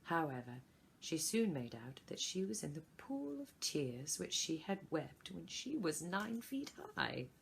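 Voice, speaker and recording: A woman narrating a children's story expressively, with deliberate, dramatic phrasing. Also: deep voice, very animated, creaky, slight background noise. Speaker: volunteer story reader.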